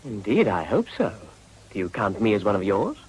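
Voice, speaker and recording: An actor playing a gallant, reserved English parliamentarian with polished, urbane diction in a period radio drama. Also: male voice, fairly low pitch, huge pitch swings, moderate pace, a little mellow, husky, heavily acted, low-quality recording.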